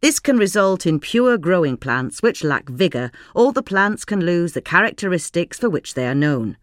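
A woman's voice: lowish voice, very animated, moderate pace, no echo, clean studio sound. A woman reading a scripted article aloud as a narrator.

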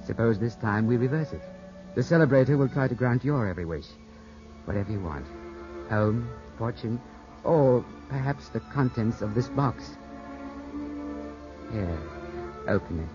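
An actor playing an impulsive, lovestruck young gentleman in a 1950s radio drama, theatrical and romantic in manner. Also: medium pitch, lively intonation, moderate pace, long pauses, close to an everyday voice. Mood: giddy, amorous. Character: impulsive lovestruck young English gentleman, twenty-five, headstrong. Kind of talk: 1950s radio drama acting with first-person narration. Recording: dull 1950s radio broadcast, orchestral music behind.

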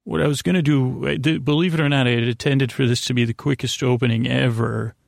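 A man hosting a bedtime podcast in a creaky voice, rambling in a gently silly, halting way that meanders and circles back. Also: mid-range voice, fairly even intonation, no echo, studio quality. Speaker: podcast host. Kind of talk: rambling bedtime monologue to aid sleep.

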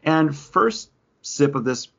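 Husky man chatting casually, muffled audio. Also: on the high side, lively intonation, slow. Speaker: podcast co-host. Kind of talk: casual chat.

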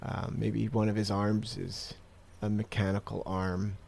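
A man chats on a podcast as a guest, his voice low and gravelly with plenty of fry. He rambles through his points with frequent fillers and restarts. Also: little pitch movement, measured pace, darkish tone. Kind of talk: casual podcast chat.

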